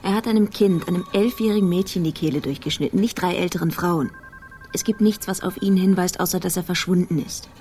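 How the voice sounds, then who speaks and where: An actress playing a weary, exasperated police investigator in a radio drama, speaking in terse, clipped sentences. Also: female voice, lowish voice, steady tone, a little fast, a little mellow, a little hiss or hum, lightly acted. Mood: weary, desperate. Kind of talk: radio drama monologue and dialogue.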